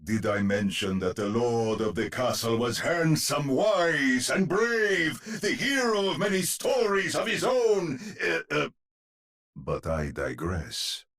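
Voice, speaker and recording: An actor playing a grandiose storyteller knight in a game, narrating a spooky gothic tale in a pompous, dramatic, gravelly and husky voice. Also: male voice, mid-range voice, big pitch swings, takes it slowly, a bit bright, full character voice. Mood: boastful. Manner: pompous, dramatic, savoring words.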